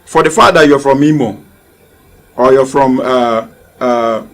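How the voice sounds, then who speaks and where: A man's voice: medium pitch, measured pace. A young man from southeastern Nigeria making his case in a televised panel, repeating himself to hold the floor. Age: young adult. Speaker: young political candidate. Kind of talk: panel discussion.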